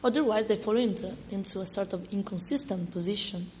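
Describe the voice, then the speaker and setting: Woman, Italian accent, hoarse from a cold, halting, lots of fillers, faint background noise. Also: medium pitch, darkish tone. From Italy. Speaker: respondent at an academic talk. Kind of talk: academic response, read with hesitations.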